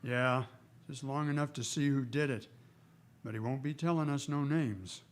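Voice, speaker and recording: A man with an American accent playing a steady, terse Old West marshal in a radio western. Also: medium pitch, normal speed, natural voice. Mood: matter-of-fact.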